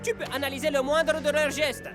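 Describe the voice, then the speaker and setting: Woman playing a high, smug cartoon mastermind. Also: steady tone, brisk, music in the background, lightly acted. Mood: smug, impatient. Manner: smug, theatrical. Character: vain, scheming, impatient tech mastermind. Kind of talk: animated sports series dub.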